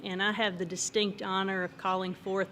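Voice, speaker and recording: A woman of middling pitch opens her part of a graduation ceremony. She speaks briskly and sounds apologetic. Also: little pitch movement, fairly bright tone, slight background noise. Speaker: faculty presenter. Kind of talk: ceremony announcement.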